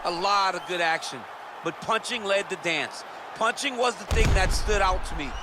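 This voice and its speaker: A man's voice: sits high, normal speed, natural voice. A man voicing an enthusiastic fight analyst in a video game, emphatic and analytical in his commentary. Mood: keen, impressed. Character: enthusiastic male fight analyst breaking down strikes. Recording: loud arena noise over the voice. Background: noisy fight arena game sounds.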